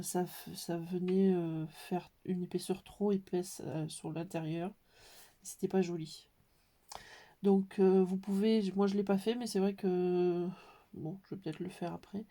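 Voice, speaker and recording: A woman's voice: mid-range voice, dark tone. Woman with a cold explaining a craft. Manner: hesitant, many pauses and fillers. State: has a cold. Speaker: scrapbooking tutorial maker. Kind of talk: casual craft tutorial.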